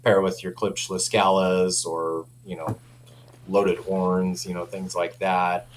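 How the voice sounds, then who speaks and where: A man's voice: sits low, flat delivery, slow pace. A man chatting casually as a company representative explaining audio products, easygoing and colloquial, often saying "you know". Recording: clear background noise with hum.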